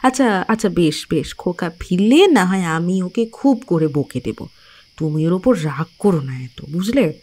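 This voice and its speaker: An actress playing a devout village housewife and protective mother in an audio drama, with an expressive, coaxing manner. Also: fairly low pitch, a little slow. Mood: coaxing, gentle.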